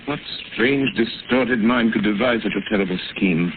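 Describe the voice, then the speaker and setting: An actor playing a calm, reflective gentleman detective working out a crime in a vintage radio drama. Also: on the low side, natural voice. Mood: thoughtful. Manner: measured, reflective. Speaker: amateur detective. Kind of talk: 1940s radio acting. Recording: lo-fi old radio broadcast, hiss.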